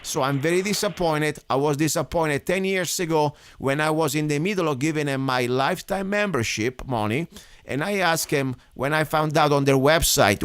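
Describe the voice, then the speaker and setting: A man's voice: a little high, fairly bright tone. Man, radio host, rambling, indignant. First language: Italian. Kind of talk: casual radio talk.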